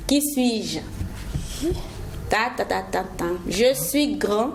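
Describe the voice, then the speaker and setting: A woman hosting a TV talk show, running a riddle game with guests in a studio, lively and laughing, reading riddles slowly. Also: medium pitch, background noise. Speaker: TV show host. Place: TV studio set.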